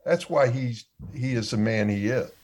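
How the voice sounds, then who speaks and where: A man's voice: medium pitch, normal speed, darkish tone. A man co-hosting a casual sports talk panel, who poses long, probing questions to the others.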